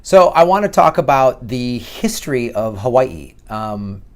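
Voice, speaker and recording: A man's voice: mid-range voice, very animated, a little slow. A man with a Midwestern accent giving a casual, sarcastic commentary on history as he reads from an article. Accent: Midwestern American. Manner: chatty, sarcastic asides with laughs. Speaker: comedian and political commentator. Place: outdoors in Hawaii.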